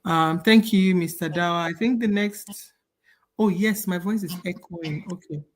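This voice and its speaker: A woman's voice: on the low side, a little slow. A woman hosting an online panel discussion over a video call, talking earnestly with many fillers. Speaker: host.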